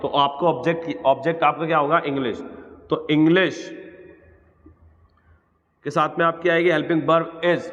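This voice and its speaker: A man's voice: fairly high pitch, a bit bright. An Indian man teaching grammar in a classroom style, asking questions and answering them himself in a didactic way. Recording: echoey room, dull lo-fi sound.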